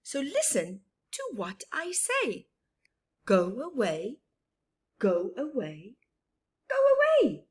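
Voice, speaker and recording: A woman voicing a grumpy female bird from a storybook who shouts at everyone to go away, shrill and slow. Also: wildly swooping pitch, breathy edge, heavily acted. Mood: cross, shouting.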